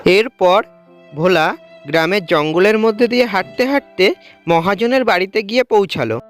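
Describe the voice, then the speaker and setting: A woman's voice: medium pitch, normal speed, soft background music. A woman reading scripted narration for a cartoon story as its storyteller.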